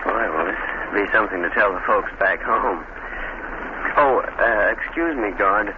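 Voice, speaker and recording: An actor playing a timid, naive out-of-town visitor, hesitant and stammering, in 1930s radio drama style. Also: male voice, fairly low pitch, lively intonation, a little slow. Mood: impressed, curious. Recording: tinny, noisy 1930s radio recording.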